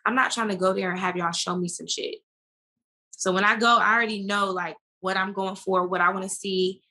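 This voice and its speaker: Bright-voiced American woman chatting casually. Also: medium pitch, steady tone, brisk. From United States. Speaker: interview guest. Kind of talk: casual conversational interview.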